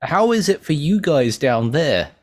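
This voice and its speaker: Lively English man asking questions. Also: a little high, a little slow. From England. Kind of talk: casual chat.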